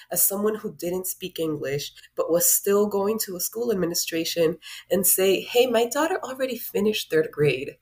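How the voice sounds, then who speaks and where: A woman's voice: on the low side, darkish tone. A woman from Honduras who works as a life coach, speaking earnestly as a podcast guest. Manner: earnest, reflective, encouraging. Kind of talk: casual podcast interview.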